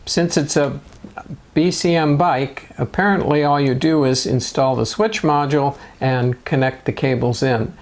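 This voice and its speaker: A man narrating step-by-step DIY instructions in a measured, matter-of-fact way. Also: fairly high pitch, steady tone. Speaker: motorcycle DIY video host.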